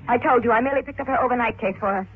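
Through narrow, faintly noisy old radio sound, an actress plays an anxious young woman in a crime drama. Her voice is high and pleading. She acts dramatically and defensively. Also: racing, lightly acted. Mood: insistent. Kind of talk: 1940s-50s radio drama acting.

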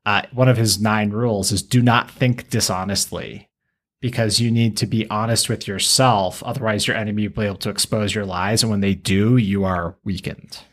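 A man co-hosting a podcast, talking casually in a measured, explanatory way. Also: lowish voice, fairly bright tone. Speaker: podcast co-host.

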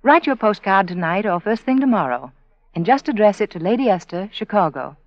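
A woman giving a scripted radio advertisement as the sponsor, measured and persuasive, speaking to listeners. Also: medium pitch, animated, no room sound. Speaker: sponsor spokeswoman. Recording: dry, dull 1940s radio broadcast.

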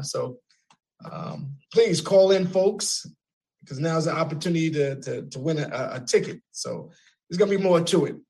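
A man's voice: fairly high pitch, darkish tone. A man hosting a call-in radio talk show, interviewing a guest in a casual way, often stumbling over his words, repeating them and using fillers.

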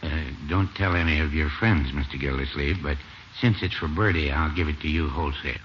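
An actor playing a mild, courteous old small-town shopkeeper in a radio comedy, prim, measured and folksy. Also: male voice, extremely low pitch. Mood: confiding. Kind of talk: 1950s radio situation comedy acting. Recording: old muffled radio recording, faint hiss.

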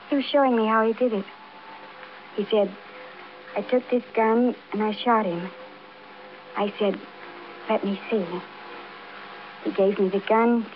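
An actress playing a childlike, defiant housewife confessing in a naive, matter-of-fact way, in 1950s radio crime drama style. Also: female voice, mid-range voice, lightly acted. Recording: dull, noisy old radio broadcast.

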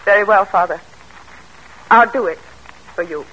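A woman's voice: medium pitch, normal speed, a bit bright, breathy edge. An actress in a classic radio drama playing a devoted, anxious young mother and daughter, earnest and genteel in manner. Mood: reluctant. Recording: noisy old radio drama recording.

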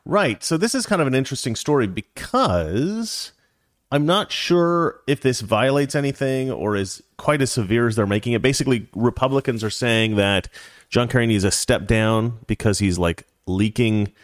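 A man's voice: mid-range voice, lively intonation, moderate pace, no echo, studio quality. A man hosting a casual commentary show, rambling and opinionated with a joking edge. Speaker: show host.

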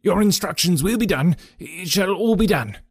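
A bullying old horse trainer, put on by a man reading a storybook, barking in a voice lifted high above the narrator's. He blusters and snaps. The recording is dry and close. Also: lively intonation, normal speed, breathy edge, dry recording, exaggerated voice. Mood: cringing. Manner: blustering, shouting. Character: fierce bullying elderly male horse trainer.

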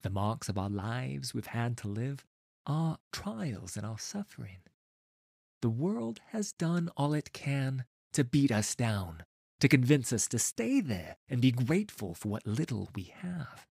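A man acts out a charismatic young male rebel leader addressing followers in an audiobook. The delivery is deliberate and persuasive, with wide, expressive pitch movement and a dry, close sound. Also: gravelly, slightly rough, dry recording, exaggerated voice. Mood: gentle, welcoming. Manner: persuasive, deliberate, impassioned speechmaking. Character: gentle young male cult leader turning fierce orator. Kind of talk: dramatised audiobook dialogue.

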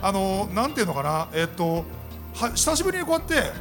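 A man's voice: high-pitched, very animated, brisk. A man giving a polite thank-you speech on a live stage, often searching for his words. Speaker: cast member of a radio show. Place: public event stage in a shopping complex. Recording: live event PA with music behind.

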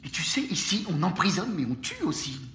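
An actor playing a taunting, death-obsessed prisoner of war in a Roman dungeon, insidious and insistent, in an audio drama. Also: male voice, high voice, sing-song, sharp tone, echoey room, a little hiss or hum, full character voice. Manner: insidious, insistent, halting with repeated phrases. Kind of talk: audio fiction acting.

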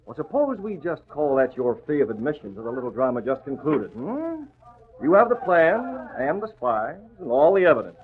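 An actor playing a suave, sardonic masked vigilante in a 1940s radio drama. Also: male voice, on the high side, very animated, normal speed. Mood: mocking. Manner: sardonic, theatrical, polished. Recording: tinny, noisy old radio recording.

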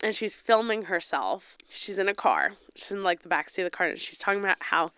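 A young woman co-hosts a casual chat, her nose a little stuffed up from a cold. She giggles often and gets animated telling stories. Her voice is smooth and clean, recorded close and dry. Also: mid-range voice, a little fast, no echo. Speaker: podcast co-host.

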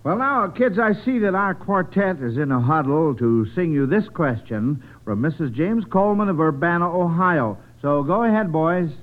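High-pitched man hosting a vintage radio quiz. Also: lively intonation, moderate pace, few pauses. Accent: American. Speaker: quiz show host. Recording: muffled, band-limited vintage radio broadcast.

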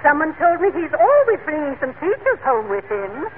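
A genteel society lady for an old radio comedy, high and swooping, prim and gracious in a singsong way. The sound is narrow and faintly hissy. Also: big pitch swings, moderate pace. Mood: polite, helpful. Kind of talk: 1940s radio comedy acting.

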